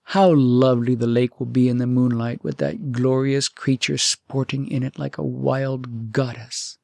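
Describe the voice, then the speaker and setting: A man plays a young storybook prince, lovelorn and ready to sacrifice himself. He muses with studied nonchalance in a mid-range voice with a creaky edge. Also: mid-range voice, level tone, darkish tone, no room sound. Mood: wistful musing. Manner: musing, deliberately nonchalant. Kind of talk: storybook character acting.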